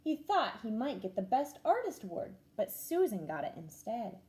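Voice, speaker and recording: A woman reads a children's story aloud like a first-grade teacher. Her voice is fairly high and soft-edged, with very lively swings in pitch. She tells it expressively and paces it clearly. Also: moderate pace. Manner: animated, clearly paced, acting out dialogue.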